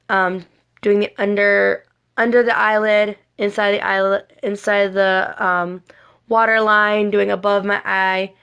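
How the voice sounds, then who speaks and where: A woman talks through a makeup tutorial in a casual, rambling style, repeating and correcting herself as she goes. Her voice sits at a middle pitch with a noticeable creak. A lingering cold leaves it a little hoarse and scratchy. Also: a bit bright. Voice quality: slightly raspy, scratchy. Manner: rambling, repetitive, self-correcting. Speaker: cosplay makeup vlogger.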